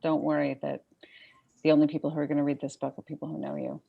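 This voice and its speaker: A woman's voice: low voice, little pitch movement, fast talker, creaky. A woman talking casually as an author on a panel. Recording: dull lo-fi call audio.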